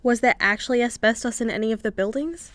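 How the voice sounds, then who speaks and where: A female actor plays a brainy, practical member of a superhero team. Her voice is fairly high and dryly recorded, with an earnest, eager manner in comedy radio play style. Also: quick pace, a bit bright, no echo, lightly acted. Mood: helpful, earnest.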